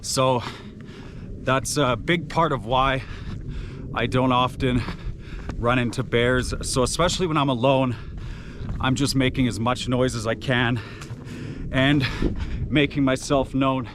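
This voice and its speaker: Loud-voiced man talking outdoors on a trail. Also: mid-range voice, unhurried, long pauses, noisy background. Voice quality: naturally loud voice. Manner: relaxed, many filler uhs. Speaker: trail running vlogger. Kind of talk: casual on-trail commentary. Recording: music playing behind the voice.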